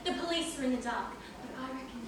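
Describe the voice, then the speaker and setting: Woman as keen amateur sleuth-journalist, bossy stage delivery, sharp and bright, distant echoey recording. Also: high-pitched, fast talker. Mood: excited, boastful. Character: eager, ambitious female amateur reporter, bossy and scheming. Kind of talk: amateur college stage play. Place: college stage, recorded from the audience. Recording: echoey hall, distant mic, faint noise.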